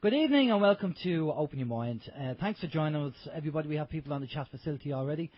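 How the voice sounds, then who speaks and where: Male co-host, husky, slightly high voice, dry dull lo-fi sound, chatty with fillers. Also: expressive, racing, dry recording. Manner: chatty, upbeat, hesitant with fillers. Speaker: radio show co-host. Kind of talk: casual radio chat. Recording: dull lo-fi internet radio audio.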